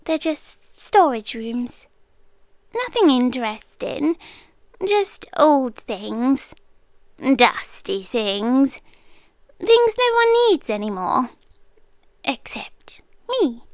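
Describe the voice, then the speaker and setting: British woman as a high, whispery possessive monster girl. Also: no echo, exaggerated voice. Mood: coy, evasive. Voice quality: soft, breathy, often dropping to a whisper. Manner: slow, coaxing, eerily reassuring with dramatic pauses. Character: possessive centuries-old monster girl, sweetly sinister doting collector of people. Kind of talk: ASMR roleplay. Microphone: close ASMR microphone, dry sound.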